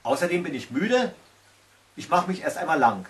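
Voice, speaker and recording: A man's voice: a little high, very animated, moderate pace, fairly bright tone. A man voicing a scornful, boastful and lazy giant slug monster in a fairy tale, with a mocking, theatrical delivery. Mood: boastful, exasperated.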